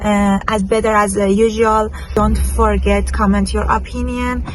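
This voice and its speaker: A woman's voice: mid-range voice, level tone, soft background music, rough recording. A woman from Iran with a Persian accent, speaking hesitant English as a second language in a casual vlog style, often searching for words. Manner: halting, hesitant English with frequent word searching. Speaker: travel and lifestyle vlogger. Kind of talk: casual vlog talk to camera.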